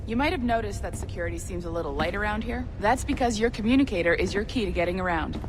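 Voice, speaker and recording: A woman acts as a friendly female guide in a video game, welcoming and encouraging. Her pitch sits in the middle, and there is faint noise behind her. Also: quick pace, lightly acted. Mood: upbeat, welcoming. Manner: encouraging, slipping into French greetings. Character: upbeat, friendly female studio guide welcoming a new hire. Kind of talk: scripted video game acting.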